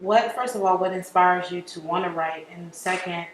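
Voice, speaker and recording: A woman's voice: on the low side, moderate pace. A woman, a student in the audience, casually asking a question, picked up in a room with some noise. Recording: roomy, distant audience pickup, faint noise.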